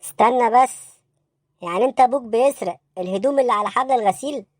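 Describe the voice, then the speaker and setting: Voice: mid-range voice, quick pace, dry recording, clean studio sound, natural voice. A performer voicing a baffled, bossy police interrogator in an Egyptian comedy cartoon, brusque and insistent, with an Egyptian accent. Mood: incredulous.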